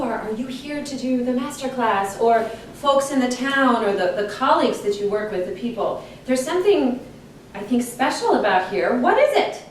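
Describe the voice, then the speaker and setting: A woman hosting a live interview in a gushing, animated manner. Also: on the high side. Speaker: host. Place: large echoey room with guests on a couch. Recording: echoey room, distant mic, faint noise.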